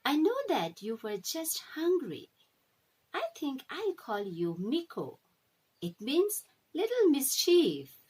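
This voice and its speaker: A woman reading a storybook aloud, playing a kind, gentle and earnest young heroine. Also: high voice, wildly swooping pitch, slow, heavily acted.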